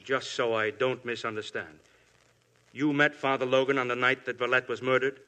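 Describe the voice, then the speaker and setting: A man's voice: mid-range voice, level tone, normal speed, bright, forceful tone, close to an everyday voice. A man playing a police inspector in a 1950s radio drama, polite but relentless in his questioning. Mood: probing. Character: persistent male police inspector. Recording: old radio broadcast.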